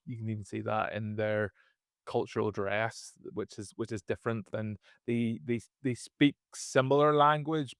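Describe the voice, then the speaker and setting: A man's voice: mid-range voice, clean tone, dry recording, studio quality. A man with a Northern Irish accent co-hosting a casual podcast, explaining topics with frequent small restarts. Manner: explanatory, repeats words when restarting. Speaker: podcast co-host.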